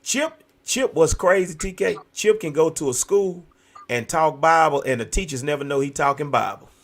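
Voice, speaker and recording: An American man hosting a talk show, speaking in an animated, preacher-like conversational way, emphatic and often repeating phrases for effect. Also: a little high, normal speed. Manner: emphatic, repetitive. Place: virtual video show.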